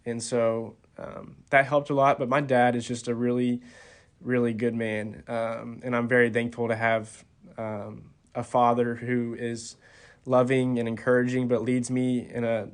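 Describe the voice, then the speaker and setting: A college-aged man being interviewed on a podcast, soft-spoken and hesitant, with many ums and restarts. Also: medium pitch. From Texas. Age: 20s. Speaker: college golfer, podcast guest. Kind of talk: casual interview talk.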